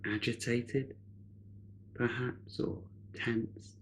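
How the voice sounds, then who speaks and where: A man leading a guided meditation in a measured, unhurried way, leaving long pauses between phrases. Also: medium pitch, level tone, a little hiss or hum.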